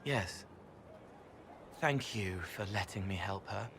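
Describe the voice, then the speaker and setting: An actor playing a gentle young male spirit who talks in soft, halting, dreamy fragments. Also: on the low side, noisy background.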